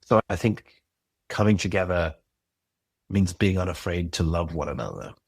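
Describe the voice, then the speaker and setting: Deep husky man, earnest and reflective. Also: normal speed, no room sound. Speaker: guest, audio drama creator and director. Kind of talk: casual interview talk.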